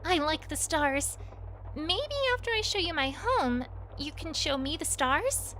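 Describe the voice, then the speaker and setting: A woman's voice: high voice, big pitch swings, a little slow, sharp tone, a little hiss or hum, heavily acted, low-quality recording. A woman playing an eager, curious young alien pony in an audio drama, speaking in careful simple sentences without contractions, like someone learning the language. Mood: earnest, curious.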